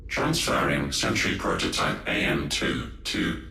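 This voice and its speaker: A man's voice: extremely low pitch, expressive, unhurried, vocal fry, full character voice. A man voicing a cold, ancient machine intelligence in a game, with a processed robotic voice and clipped, impersonal announcements. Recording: loud eerie music, echoing.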